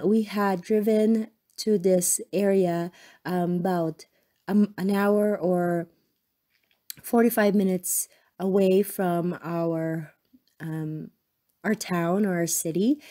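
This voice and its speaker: Hoarse woman chatting over a craft tutorial. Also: lowish voice, dark tone. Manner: chatty, rambling with frequent ums and self-corrections. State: hoarse from a cold. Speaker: crafting channel host.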